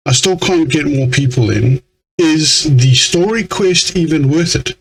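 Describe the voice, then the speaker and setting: A man's voice: fairly high pitch, lively intonation, unhurried, slight background noise, rough recording. A man giving let's play commentary in an exasperated, grumbling way. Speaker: game streamer. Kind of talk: let's play commentary, reading game dialogue aloud.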